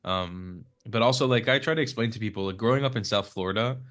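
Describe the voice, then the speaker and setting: Young man, Hebrew first language, lowish voice, loose rambling guest chatter. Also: fast talker, fairly bright tone. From United States. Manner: rambling, many fillers and restarts. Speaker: podcast guest. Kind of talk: casual conversational interview. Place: Israel.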